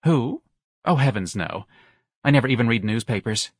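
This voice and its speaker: A man voicing an effeminate young man, breathy, gushing and dramatic, as a character in an audiobook. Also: mid-range voice, animated, normal speed, no echo, studio quality. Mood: amazed, gushing.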